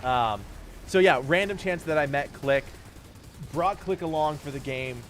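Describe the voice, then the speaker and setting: A thirty-year-old man whose voice is going hoarse and stuffy, streaming live and thanking his viewers for donations in a grateful, rambling way. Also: fairly high pitch, unhurried, fairly bright tone, a little hiss or hum. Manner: grateful, rambling, laughs often.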